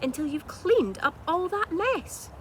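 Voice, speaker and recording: A woman's voice: extremely high pitch, sing-song, measured pace, a bit bright, voice pushed up, full character voice. A woman voicing harsh, cruel aunts who bark orders in a children's storybook. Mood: angry. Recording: clearly noisy room recording.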